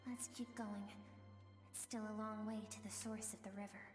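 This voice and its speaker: A woman voicing a gentle female guide spirit in a game, talking in a soft, measured, storytelling way. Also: mid-range voice, level tone, close to an everyday voice. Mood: calm. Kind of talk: game voice acting. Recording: roomy reverb with game music.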